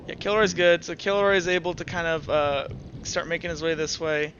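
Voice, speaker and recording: High, bright-voiced man running a tabletop game. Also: level tone, highs cut off, slight background noise. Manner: informal, halting narration. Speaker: game master. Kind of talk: narrating a tabletop roleplaying game.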